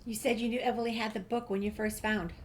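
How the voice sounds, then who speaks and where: A woman's voice: on the high side, flat delivery, quick pace, a little hiss or hum. A woman playing through a video game, reading dialogue aloud and adding casual asides. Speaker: gameplay streamer.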